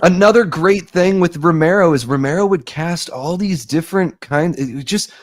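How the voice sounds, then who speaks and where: A man's voice: fairly high pitch, moderate pace, no room sound, studio quality. A man in his forties, a show host, chatting casually and at length during a live movie watch-along, often laughing. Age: 40s. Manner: talkative, rambling, laughs often.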